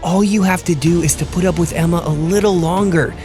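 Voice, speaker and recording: A man playing a calm, calculating aide in an audio drama, speaking persuasively. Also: high voice, a little fast, soft background music, lightly acted. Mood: coaxing.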